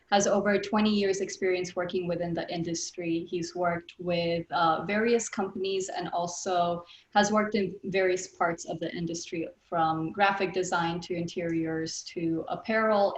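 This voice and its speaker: A woman in her thirties hosts an online interview with polite, measured speech sprinkled with ums. Her voice is on the low side for a woman. The video-call audio has a roomy echo. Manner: polite, filler-heavy. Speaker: interview host. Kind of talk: scripted-sounding introduction, then interview questions.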